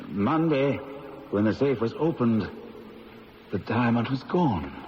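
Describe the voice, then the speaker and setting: A man's voice: mid-range voice, lively intonation, slow pace. An actor playing a worn-out, desperate diamond firm manager in a radio drama, confiding his story. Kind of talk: 1970s radio drama acting. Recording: muffled old radio broadcast with faint hiss.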